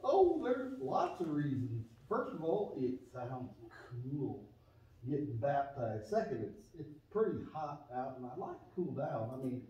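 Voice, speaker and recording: A man's voice: medium pitch, big pitch swings, a little slow, mellow. A man voicing a naive, eager young surfer-dude pig with a drawl in a children's church puppet show. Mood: goofy, eager. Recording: distant, echoey, dull room recording.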